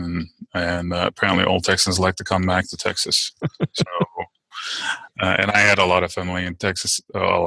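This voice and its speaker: A man's voice: sits low, vocal fry, pushed and bright, no room sound. A middle-aged man from Turkey, answering interview questions in a casual, hesitant way with many fillers and restarts. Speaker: podcast guest.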